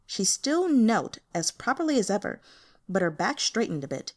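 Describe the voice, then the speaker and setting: Audiobook narrator, woman, middle-pitched with an expressive, lively range, for fantasy fiction. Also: normal speed. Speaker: audiobook reader. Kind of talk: scripted narration of a translated fantasy novel.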